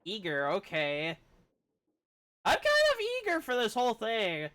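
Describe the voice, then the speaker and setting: Casting: man, game streamer, higher-pitched and clear-toned, casual off-the-cuff gameplay commentary. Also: huge pitch swings, a little slow, bright, forceful tone. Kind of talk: casual gameplay commentary, reading dialogue along.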